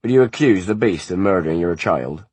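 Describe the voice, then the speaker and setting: A stern monk, as a narrator reads him in a storybook, low and husky, formal and firm. The reading is dry and close. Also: male voice, expressive, fairly quick, a little mellow, no echo. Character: stern middle-aged male monk clerk.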